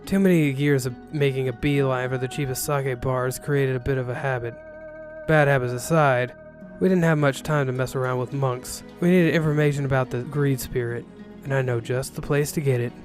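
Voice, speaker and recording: A man's voice: mid-range voice, steady tone, moderate pace. A man reading a first-person story as narrator, in the role of a ronin, with a wry, sarcastic manner. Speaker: narrator reading an interactive novel. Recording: music bed under the voice.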